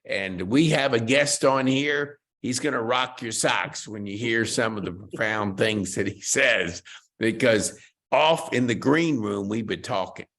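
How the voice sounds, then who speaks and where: A man's voice: mid-range voice, unhurried, creaky, a bit bright. A man hosting a podcast interview, chatting in an enthusiastic, folksy way with golf banter. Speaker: podcast host.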